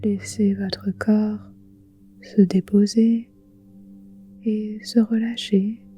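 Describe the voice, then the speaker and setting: Soft whispery woman, very slow meditation guide. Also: mid-range voice, flat delivery. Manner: soothing, unhurried, gentle pauses. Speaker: yoga nidra guide. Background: soft music with singing bowls.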